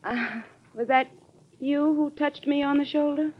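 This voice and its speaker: An actress playing a brisk, no-nonsense head housekeeper who is easily exasperated, in a classic radio drama. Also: female voice, sits high, steady tone. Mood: startled, stern. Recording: dull old radio recording.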